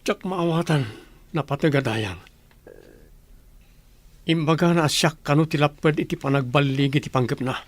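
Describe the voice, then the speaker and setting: A man's voice: a little high, moderate pace, long pauses, natural voice. An actor playing a respectful, dutiful village man in an Ilocano radio drama. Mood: worried.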